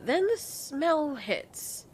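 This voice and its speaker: A woman narrating a scripted story in an expressive, storytelling style. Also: high voice, drawn-out words, slight background noise.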